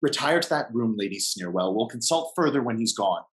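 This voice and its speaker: A middle-aged man playing a scheming, flustered gentleman in a period stage comedy, declamatory and exasperated. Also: medium pitch, lively intonation, a little fast, lightly acted. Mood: scheming, vexed. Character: scheming, blustering English gentleman nephew, easily flustered. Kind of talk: theatrical period comedy acting over video call. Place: indoor room at home. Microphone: computer mic on video call. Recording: roomy video-call audio.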